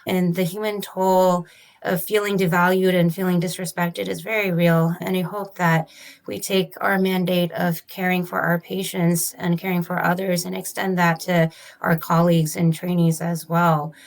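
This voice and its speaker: Woman, physician guest, methodical formal explaining, fairly low voice, flat even delivery. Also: normal speed, creaky. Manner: careful, methodical, formal phrasing. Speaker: physician researcher, interview guest. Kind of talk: measured academic explanation.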